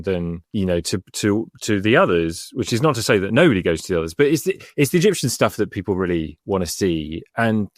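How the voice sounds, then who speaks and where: A man's voice: lowish voice, no room sound, studio quality. A middle-aged man who is an Egyptologist co-hosting a podcast, chatting in a rambling way with frequent restarts and easy laughter. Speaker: Egyptologist and co-host. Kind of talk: casual podcast chat.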